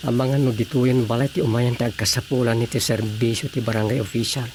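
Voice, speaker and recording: A man's voice: mid-range voice, fairly even intonation, fairly quick, background noise, close to an everyday voice. A man acting as an easygoing village councilman in a radio drama comedy, muttering with hesitant interjections. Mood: musing. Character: male village councilman, casual, muttering, easygoing local official.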